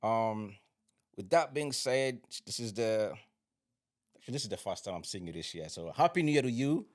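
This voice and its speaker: A man hosting a podcast, welcoming his guest with upbeat enthusiasm and quick affirmations. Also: fairly low pitch, expressive. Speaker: podcast host.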